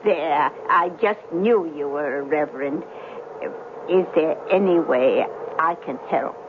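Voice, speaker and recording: A kindly, trusting old lady in a radio play. She is fluttery and earnest, often hesitating. The sound is a thin old broadcast with clear noise behind it. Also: low-pitched, unhurried, phone-quality sound. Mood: confiding, earnest. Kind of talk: 1970s radio drama acting.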